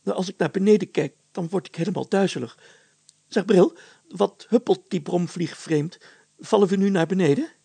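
A man's voice: high voice, normal speed, vocal fry, warm tone, husky, no echo, pitched above the performer's own voice, exaggerated voice. A man voicing an anxious, blustering village mayor in a storybook, stammering and hesitant, easily thrown into breathless panic. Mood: panicked.

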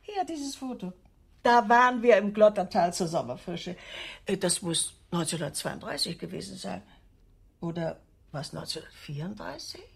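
A woman playing a serene, warm-hearted elderly widowed aunt in a German radio drama, with a husky, creaky voice. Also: mid-range voice, expressive, moderate pace, exaggerated voice. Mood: fond, serene.

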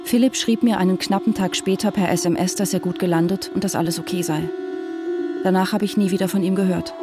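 A woman's voice: on the low side, natural voice. An actress in a radio drama playing a tense, defiant wife of a missing man, speaking in a controlled, insistent way. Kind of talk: radio drama acting with inner monologue narration. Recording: studio radio play with music underneath.

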